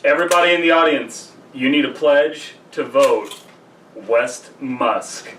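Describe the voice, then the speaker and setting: A man's voice: medium pitch, a little slow, a bit bright, recorded from a distance, rough recording. A young man, slightly tipsy, hosting casual podcast banter in a profane, prodding way. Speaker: podcast host. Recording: off-mic with clear background noise.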